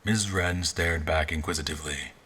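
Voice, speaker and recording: A man playing a formal royal officer who narrates an audio drama in the first person, in a measured manner. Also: extremely low pitch, steady tone, bright, forceful tone, husky, exaggerated voice. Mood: reflective, measured.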